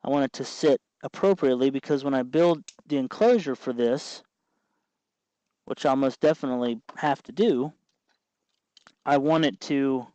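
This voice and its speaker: A man giving an informal how-to commentary as host, thinking aloud and pausing hesitantly as he works. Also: medium pitch, mellow, no echo, lo-fi sound. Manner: hesitant, meandering, thinking aloud while working. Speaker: host of a DIY electronics tutorial. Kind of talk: informal hands-on tutorial commentary.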